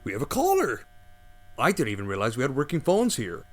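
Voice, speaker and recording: A bumbling talk-radio host, flustered and lively, a faint mains hum behind. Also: male voice, medium pitch, a little fast. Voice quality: rambling, excitable, flustered. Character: bumbling male conspiracy-theorist radio host.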